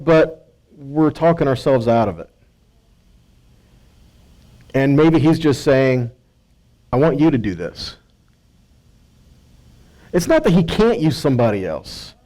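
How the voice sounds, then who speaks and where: A man's voice: high-pitched, darkish tone, poor audio. A man giving a conversational Bible teaching, speaking emphatically, quoting and paraphrasing dialogue and checking in with his listeners. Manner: emphatic, quotes and paraphrases dialogue, rhetorical asides and check-ins. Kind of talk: conversational scripture teaching, sermon.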